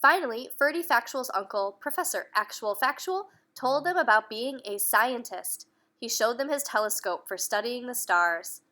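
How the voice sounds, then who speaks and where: Woman, children's read-aloud, very lively intonation, bright tone, engaging young listeners with questions. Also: fairly high pitch, normal speed. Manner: animated, addresses children directly. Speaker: picture-book reader.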